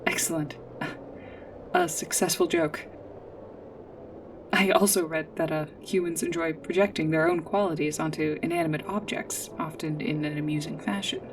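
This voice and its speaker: A woman playing a polite, earnest android guide in a sci-fi ASMR roleplay, speaking in formal, precise, literal phrases with hesitant pauses. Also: low-pitched, steady tone, far from the mic, slight background noise, lightly acted. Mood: polite, pleased. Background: music.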